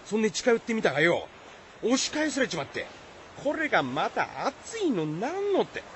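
A blunt, excitable fisherman from a folktale radio play. His voice rides high and swings widely, with rough exclamations in rural Izu dialect. There is a faint layer of noise behind him. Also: normal speed, full character voice. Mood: agitated. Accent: rural Shizuoka, Izu dialect. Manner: rough, exclamatory rustic speech. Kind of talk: radio drama acting of a folktale.

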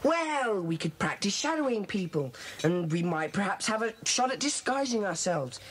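A woman playing an earnest, bossy schoolboy club leader in a children's audio drama, brisk and authoritative. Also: mid-range voice, big pitch swings, a little hiss or hum, lightly acted. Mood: earnest, organising.

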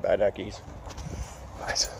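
Man rambling on a woodland walk. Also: takes it slowly. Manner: rambling, relaxed, thinking aloud. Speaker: vlogger. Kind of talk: casual walking commentary. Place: woodland outdoors. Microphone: handheld phone. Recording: outdoor phone audio with loud noise. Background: traffic noise.

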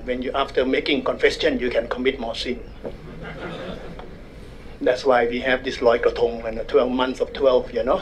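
A man's voice: medium pitch, slight background noise, rough recording. Older Thai man giving a public lecture. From Thailand. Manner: wry, measured, self-deprecating humor. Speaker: guest speaker at a public forum. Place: university conference room. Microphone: desk microphone.

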